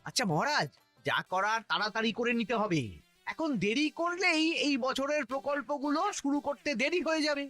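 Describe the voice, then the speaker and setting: Shrill-edged, scheming cartoon minister. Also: male voice, expressive, fairly quick, pushed and bright, soft background music, full character voice. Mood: businesslike. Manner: sarcastic, theatrical. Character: scheming, sneering male royal minister. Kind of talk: animated cartoon voice acting.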